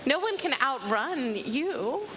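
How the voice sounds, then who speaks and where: A woman voicing a kindly, spirited old woman from a fairy tale, reassuring and unhurried as she tells the story aloud. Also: high voice, sing-song, fairly bright tone, pitched above the performer's own voice, exaggerated voice. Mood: gently curious. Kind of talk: storytelling to an audience. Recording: reverberant hall, audible background noise.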